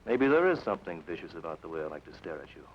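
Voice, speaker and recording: An actor playing a suave, menacing outlaw who talks in a smooth, sardonic, commanding way, in 1940s radio acting. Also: male voice, deep voice, sing-song, very fast. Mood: mocking. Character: suave menacing outlaw brother, cold and mocking. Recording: muffled old radio broadcast with music.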